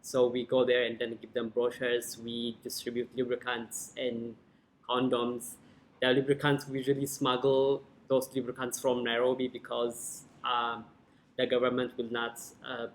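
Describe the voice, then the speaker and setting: A man's voice: medium pitch, little pitch movement, a little slow, rough recording. A man from Ethiopia with an Ethiopian accent, a native Amharic speaker, giving a hesitant personal account as a panel guest. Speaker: panel guest, former clinical officer.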